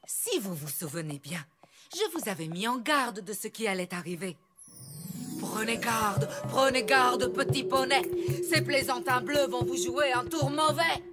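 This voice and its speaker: An actress voicing a mysterious, dignified zebra herbalist in a French cartoon dub, speaking formally and theatrically. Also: female voice, a little high, animated. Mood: ominous warning. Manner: formal, measured, theatrical, cryptic. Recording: music behind the voice.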